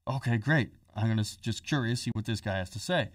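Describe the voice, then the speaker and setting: A man's voice: on the low side, quick pace, no echo, studio quality, recorded from a distance. Joking, chatty man hosting a podcast. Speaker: podcast host. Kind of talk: casual podcast chat.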